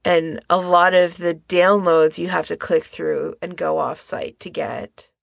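Woman podcast host chatting casually, slow and gravelly, really dry close sound. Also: on the low side, fairly even intonation, dry recording.

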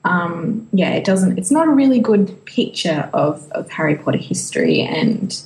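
Creaky, lowish-voiced woman co-host. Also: sing-song, normal speed, darkish tone, poor audio. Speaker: podcast co-host. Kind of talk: casual podcast discussion.

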